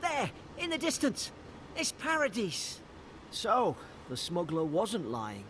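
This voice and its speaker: An actor playing an earnest soldier companion to an envoy in a fantasy video game, urgent and insistent. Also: male voice, high-pitched, wildly swooping pitch, takes it slowly, a bit bright, slight background noise, heavily acted. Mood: awed, relieved.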